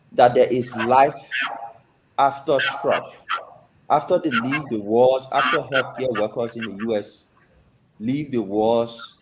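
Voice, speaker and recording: A man's voice: medium pitch, noisy background. Male commentator, mid-range voice, explaining things in an unscripted talk show setting. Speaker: commentator giving an overview.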